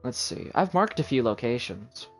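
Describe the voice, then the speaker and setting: A man's voice: medium pitch, sing-song, normal speed, creaky, faint music behind. A man livestreaming a game, chatting casually in a rambling, self-deprecating way. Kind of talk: casual chat while gaming on a livestream. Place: voice over game music on a livestream.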